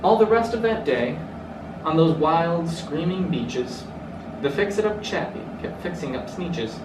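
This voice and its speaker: A man's voice: fairly high pitch, expressive, measured pace. A young man reading a rhyming children's storybook aloud. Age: young adult. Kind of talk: storybook read-aloud in rhyme. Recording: roomy, distant mic, clearly noisy.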